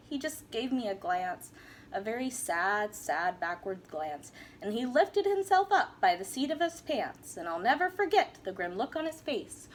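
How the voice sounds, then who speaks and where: A woman teacher reading a rhyming picture book aloud in an animated, sing-song way. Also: fairly high pitch, a little hiss or hum. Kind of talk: storybook read-aloud.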